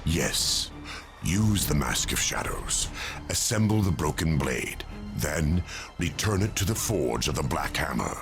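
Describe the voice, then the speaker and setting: A man playing an ancient, weary, remorseful angel of death in a video game, with a gravelly voice and slow, grave delivery. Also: exaggerated voice. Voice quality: gravelly, very deep. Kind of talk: dramatic video game cutscene acting. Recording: loud orchestral score behind voice.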